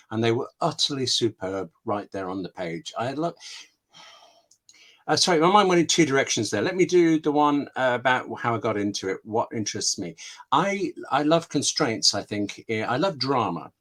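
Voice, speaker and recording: A man's voice: mid-range voice, expressive, moderate pace, a bit bright. A man speaking casually as an interview guest, enthusiastic and often interrupting himself mid-thought. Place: online video call.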